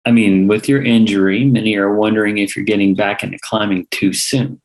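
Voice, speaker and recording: A man's voice: fairly low pitch, steady tone, fairly quick, close to an everyday voice, low-quality recording. Pushy young hipster journalist, acted by a man. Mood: pressing, skeptical. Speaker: actor in a table read. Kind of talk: conversational script reading.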